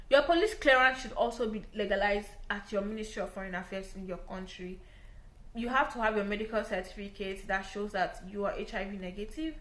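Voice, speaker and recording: A woman's voice: medium pitch, a bit bright. A young woman with a Nigerian English accent talks to camera in a casual, explaining way. She repeats herself for emphasis and keeps asking "right?" Her voice sits mid-range and carries a noticeable roomy echo. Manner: chatty, repeats points, often says "like" and "right?". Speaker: vlogger giving visa advice.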